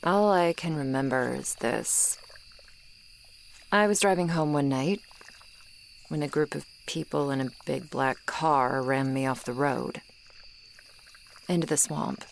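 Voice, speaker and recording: Swamp monster girl, played by a woman for ASMR roleplay: low-voiced, unhurried, guarded and gruff over hidden loneliness. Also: slight background noise. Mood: wistful, sad. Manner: grudging, guarded, halting, close and intimate delivery. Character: gruff tsundere female swamp monster, once human, lonely. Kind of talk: ASMR roleplay, acted monologue to the listener. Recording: swamp ambience of crickets and frogs. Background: insects and frogs, swamp night ambience.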